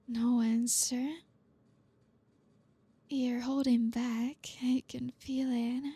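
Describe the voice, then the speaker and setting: A woman playing a flirty, dominant boss in an ASMR roleplay, teasing and commanding in a soft, deliberate, often whispered voice. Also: fairly high pitch, little pitch movement, slightly rough, no room sound, heavily acted. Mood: teasing, seductive.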